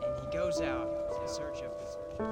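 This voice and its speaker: An actor playing a bookish American teenage son on the frontier, dry and teasingly sarcastic. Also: male voice, mid-range voice, moderate pace, over loud music, natural voice. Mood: earnest, hesitant. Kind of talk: video game cutscene acting.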